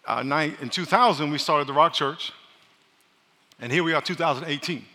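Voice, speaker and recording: A pastor preaching a sermon, loud and joking, calling on his congregation to answer back. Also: on the high side, moderate pace. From New York. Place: large church hall. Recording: live sermon in a reverberant hall.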